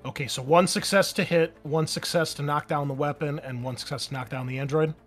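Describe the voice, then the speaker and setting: A man's voice: a little high, steady tone, brisk, soft background music. A man running a tabletop game as game master, narrating casually and graphically in informal, sometimes profane language.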